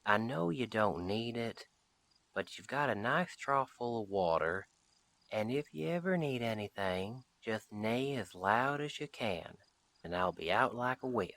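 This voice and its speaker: Man playing a slow-talking, bright-voiced friendly cowboy sheriff. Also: on the low side, lively intonation. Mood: fond, coaxing. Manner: folksy drawled western phrasing, unhurried. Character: friendly, protective cowboy sheriff of the Old West. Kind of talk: roleplay audio drama, speaking softly to the listener.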